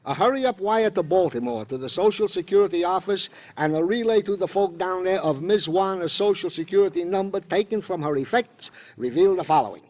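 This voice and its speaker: An officious junior policeman with a rather high voice. He is earnest, formal and long-winded, plodding through his report in old radio drama style. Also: animated, brisk, hardly any pauses, darkish tone. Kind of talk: 1940s-50s American radio drama acting.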